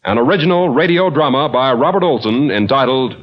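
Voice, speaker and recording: A man announcing in the style of classic radio. His voice is medium in pitch and swings expressively with polished showmanship. The recording is dull and lo-fi. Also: animated, brisk. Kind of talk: old-time radio announcing and sponsor pitch.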